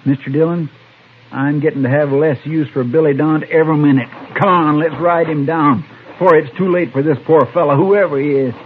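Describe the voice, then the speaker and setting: A man's voice: a little high, fairly even intonation, brisk, natural voice. An American man playing an earnest, loyal, folksy deputy in an old-time radio western, deferential to his boss. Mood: indignant. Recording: noisy, tinny old radio recording.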